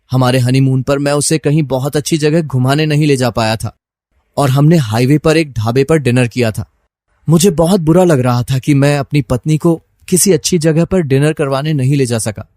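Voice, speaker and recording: A man's voice: medium pitch, moderate pace, mellow, no echo, clean studio sound, close to an everyday voice. A man acting as a troubled, suspicious husband who narrates his own story in a dramatized first-person style. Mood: nostalgic.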